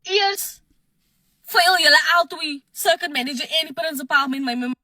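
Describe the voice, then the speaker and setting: A woman teacher tells her own story with distress, her voice high and tense. Her speech is pleading and emotional. It sounds dry and close, like a clip recorded on a phone. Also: normal speed, bright, forceful tone, no echo. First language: Afrikaans. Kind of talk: personal social media testimony.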